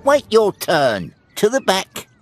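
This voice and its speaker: A man's voice: high-pitched, wildly swooping pitch, takes it slowly, over music, full character voice. An actor voicing a brusque, bossy middle-aged ice cream van man in a cartoon.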